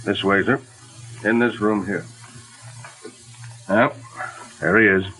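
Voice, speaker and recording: An actor playing a plain-spoken, cooperative police captain in a 1940s radio detective drama. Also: male voice, fairly low pitch, fairly even intonation, slow pace, sharp tone, poor audio. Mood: businesslike. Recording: old 1940s radio recording.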